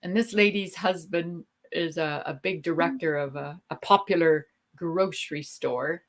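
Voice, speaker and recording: A woman's voice: lowish voice, a little slow. A middle-aged woman hosting a livestream, telling stories in a chatty, rambling way with frequent ums. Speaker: livestream host. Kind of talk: casual chat on a livestream.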